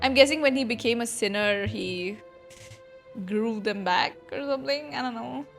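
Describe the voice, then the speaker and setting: A woman's voice: a little high, moderate pace, creaky. A woman streamer giving informal live reactions to a game, musing aloud as she watches. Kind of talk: casual live reaction commentary. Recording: faint game music behind.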